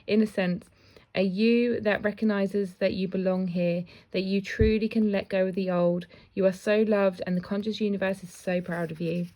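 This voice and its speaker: Chatty woman with a croaky voice. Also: medium pitch, fairly even intonation, normal speed, low-quality recording. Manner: chatty, warm asides, often laughs at herself. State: slightly croaky voice. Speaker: intuitive card reader. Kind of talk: casual spiritual card reading.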